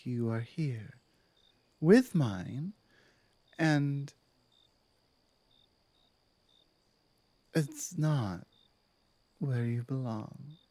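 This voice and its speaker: Woman as slow-spoken werewolf pack leader, dry close sound. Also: sits low, mellow, dry recording, exaggerated voice. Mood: hopeful, earnest. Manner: measured, hesitant, earnest, with thoughtful pauses. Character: dominant but softening female werewolf pack leader. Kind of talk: ASMR roleplay, close one-on-one address.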